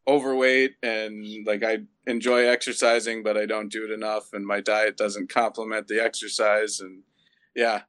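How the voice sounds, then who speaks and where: A man in his mid-thirties, a zookeeper guest talking casually in an easygoing, halting way. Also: lowish voice, level tone, a bit bright, dry recording. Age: 30s. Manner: easygoing, hesitant restarts. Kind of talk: casual interview chat.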